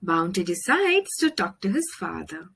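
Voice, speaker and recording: A woman with an Indian accent reading a children's story aloud, expressively and deliberately, with dramatic pauses. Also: fairly low pitch, very animated, vocal fry, fairly bright tone, husky. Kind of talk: children's picture book read-aloud.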